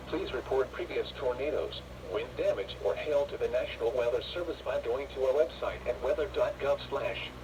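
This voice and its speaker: A male announcer reads a formal alert bulletin through a weather radio speaker. The voice sits at a medium pitch, heard from some distance with clear noise around it. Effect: weather radio broadcast.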